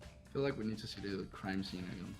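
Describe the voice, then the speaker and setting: A man chatting casually during a group game playthrough, halting and questioning, with game music behind. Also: low-pitched. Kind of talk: casual chat.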